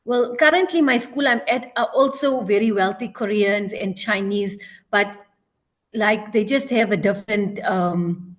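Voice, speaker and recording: A woman with a South African accent speaks as a guest on a casual podcast. She pauses often and fills the gaps with ums and "you know". Her voice sits at an ordinary middle pitch. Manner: hesitant, frequent ums and "you know" fillers. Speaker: guest, teacher working abroad.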